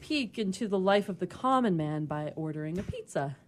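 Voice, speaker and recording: Lowish, very animated woman on radio. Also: a little fast. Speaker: radio theatre player and narrator. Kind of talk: casual chat and scripted narration.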